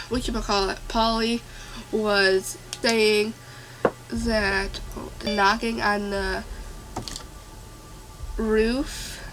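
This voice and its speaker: A woman's voice: mid-range voice, background noise. Stuffy-nosed young woman rambling. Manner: rambling, filler-heavy, excitable. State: stuffy nose. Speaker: vlogger. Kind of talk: casual storytime.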